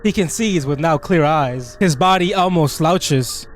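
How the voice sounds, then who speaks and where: Higher-pitched man doing expressive gaming commentary. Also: a little slow, faint music behind. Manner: expressive, slangy, incredulous reactions. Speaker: gaming video creator. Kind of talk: let's play commentary and reading game text aloud.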